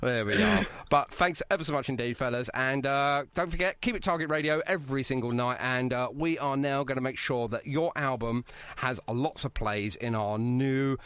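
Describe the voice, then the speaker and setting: A man's voice: medium pitch, moderate pace, a bit bright, smooth, no echo. A man hosting a radio interview in a chatty, jokey, effusive way. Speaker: radio show host. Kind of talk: casual, bantering radio interview.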